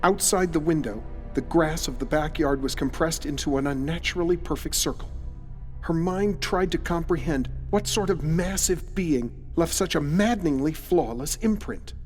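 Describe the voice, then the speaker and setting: A man's narrating voice in long reverb, set over faint music. He is fairly high in pitch and reads a horror mystery script in a measured, literary way. The tone is ominous. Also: a little mellow.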